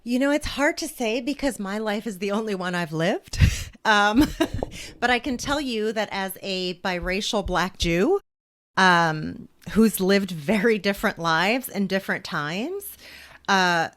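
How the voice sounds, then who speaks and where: A woman in her forties speaks as an interview guest, reflective and measured. Her voice sits at an ordinary middle pitch, and she laughs easily between thoughts. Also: animated, a bit bright. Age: 40s. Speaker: author and guest.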